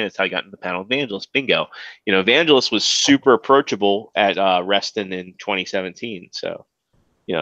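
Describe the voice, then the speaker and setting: A man's voice: deep voice, sharp tone, no echo, highs cut off. A man with a Maryland accent co-hosting a podcast, rambling casually with lots of fillers and restarts. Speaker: podcast co-host. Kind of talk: casual chat.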